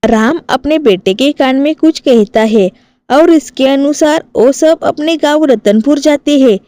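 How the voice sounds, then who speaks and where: A woman's voice: sits high, a little slow, mellow, no room sound. A woman reading scripted narration for a cartoon family story.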